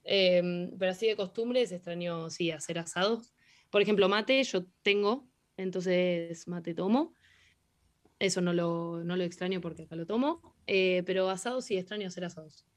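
Young Argentine woman interviewee, slightly low voice, halting delivery with lots of "em" and restarts. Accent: Argentine Spanish. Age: young adult. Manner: hesitant, many fillers and restarts. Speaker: interviewee, hockey player. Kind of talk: casual interview answers.

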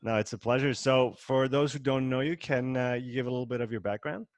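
A man's voice: medium pitch, a little fast, no room sound. A man hosting a fitness podcast, introducing his guest and asking questions in a friendly way with small restarts. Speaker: podcast host. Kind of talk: interview hosting.

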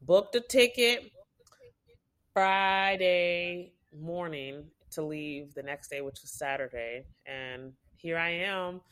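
A woman's voice: on the low side, slow, fairly bright tone, clear, resonant tone. Chatty young woman telling a story. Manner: chatty, storytelling with many fillers. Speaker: podcast co-host. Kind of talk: casual chat.

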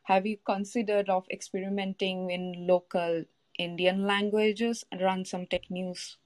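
A woman's voice: mid-range voice, level tone, a little slow, darkish tone, no echo. A woman whose first language is Bengali, speaking earnestly and a little hesitantly in a casual live audio chat. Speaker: guest speaker.

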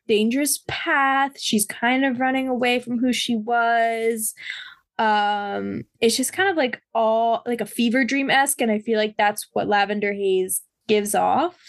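A hoarse woman co-hosting a podcast, rambling in a casual chat with lots of fillers. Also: fairly high pitch, dry recording. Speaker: podcast co-host.

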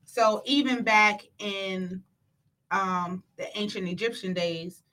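A woman hosting a talk show in a casual, animated, slangy way, often repeating phrases for emphasis. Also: mid-range voice, takes it slowly, low-quality recording. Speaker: show host.

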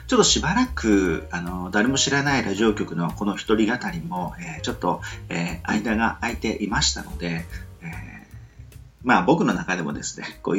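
A man with a very low voice hosts a casual solo radio show over music. His intonation swings widely as he rambles politely to listeners. Phrases come haltingly, padded with small fillers. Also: fairly bright tone.

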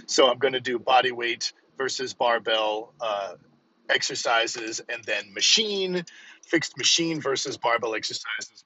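A man's voice: medium pitch, pushed and bright. A man in his fifties hosting a casual solo podcast as a coach, rambling informally and with emphasis. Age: 50s. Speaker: podcast host and physical therapist coach. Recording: dull lo-fi, vehicle noise behind.

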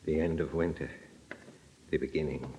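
An actor playing a soft-spoken, menacing killer in an old-time radio drama, hushed and formally polite. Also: male voice, very deep voice, steady tone, a little hiss or hum. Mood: quietly menacing. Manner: slow, formal, eerily polite. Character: soft-spoken menacing strangler who claims he is dead. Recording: muffled vintage radio recording.

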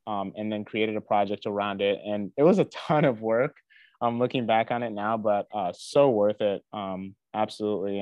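Low, flat-voiced young man from Ghana. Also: smooth, no echo. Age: young adult. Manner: earnest, many fillers and restarts. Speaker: interview guest, student athlete. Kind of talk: casual interview conversation.

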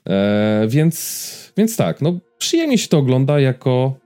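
A man's voice: mid-range voice, very animated, takes it slowly, mellow. A man giving a casual podcast-style film review as a co-host, searching for words and checking in with his listener.

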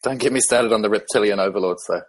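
A man from New Zealand with a New Zealand accent, a podcast guest talking through his background in a thoughtful, measured way with frequent ums. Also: lowish voice, slightly rough. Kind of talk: casual interview conversation.

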